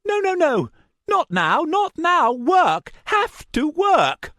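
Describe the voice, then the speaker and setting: A man's voice: huge pitch swings, slow, a bit bright, no echo, clean studio sound, heavily acted. A man voicing a crazed man who rants in short broken phrases and clutches a cursed charm. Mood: frantic. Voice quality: shrill, ranting in broken phrases.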